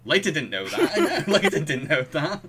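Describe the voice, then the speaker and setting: A man co-hosting a podcast, casually discussing films in a halting, chuckle-prone way. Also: a little high, very animated, a loud crowd. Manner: chuckles through sentences, halting. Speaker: podcast co-host.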